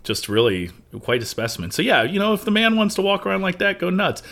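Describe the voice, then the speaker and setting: Bright-toned man breaking down a film. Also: sits high, big pitch swings, quick pace, vocal fry. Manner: chatty, analytical, joking. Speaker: podcast co-host. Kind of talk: casual movie discussion.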